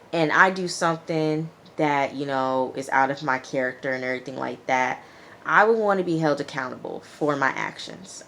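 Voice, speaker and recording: Woman w low voice doing a chatty tv recap, lots of opinions, noisy background. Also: fairly bright tone. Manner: conversational, opinionated, acts out quotes. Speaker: TV show reviewer. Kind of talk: casual reality TV recap commentary.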